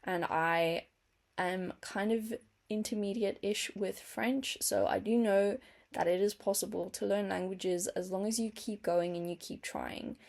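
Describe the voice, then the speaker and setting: A young woman vlogger speaks with noticeable vocal fry at a middling pitch. English is her second language. She chats casually to the camera, earnest and open, as if updating friends on her progress. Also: fairly even intonation, unhurried, darkish tone. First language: not English. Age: young adult.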